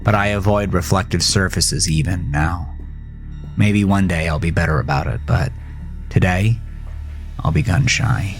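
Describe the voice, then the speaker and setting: Deep-voiced guy acting as a haunted regretful survivor, intense horror audio drama narration, music underneath. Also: gravelly. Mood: somber, haunted. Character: haunted, regretful man recounting his fight with a monster.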